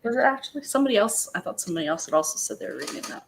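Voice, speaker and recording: A woman talks over a video call with a clearly audible hiss under her voice. Her pitch is ordinary, and she speaks briskly and in a friendly way. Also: animated. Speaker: guest reader. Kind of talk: casual chat on a video call.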